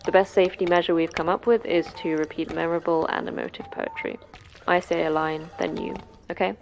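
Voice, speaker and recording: A lowish, creaky-voiced seasoned female guide through a monster facility. She is brusque and terse, giving orders in a matter-of-fact way, as in a horror audio drama with music underneath. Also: steady tone, a little fast. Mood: brusque, guarded. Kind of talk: science fiction horror audio drama acting.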